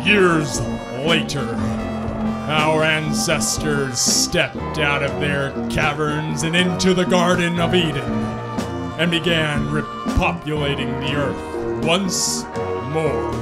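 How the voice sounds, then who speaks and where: A solemn narrator of strange radio tales, played by a man, speaking slowly in grave, halting phrases. The voice is fairly high and portentous, with loud music playing. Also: steady tone. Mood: solemn, ominous. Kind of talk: old-time sci-fi horror radio narration. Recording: live stage performance with loud music.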